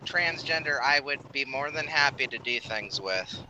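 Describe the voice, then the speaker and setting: Teasing trucker man, very bright sharp voice. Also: mid-range voice, expressive, normal speed, muffled recording, slight background noise. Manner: teasing, flirty banter. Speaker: podcast guest, truck driver. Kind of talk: casual podcast chat.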